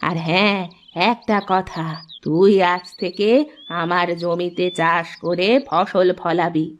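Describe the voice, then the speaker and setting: A woman voicing a kindly, motherly old village woman who speaks in a slow, drawn-out way, for a cartoon. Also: fairly low pitch, level tone, lo-fi sound, a little hiss or hum, voice pushed down. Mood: gentle, instructing.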